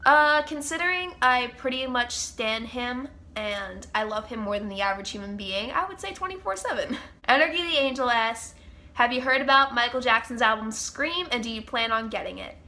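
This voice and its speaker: A woman's voice: a little high, moderate pace, pushed and bright. A young woman with a congested, stuffy nose, chatting as host of her own Q&A vlog with gushing fan enthusiasm. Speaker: channel host. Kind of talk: casual Q&A vlog chat.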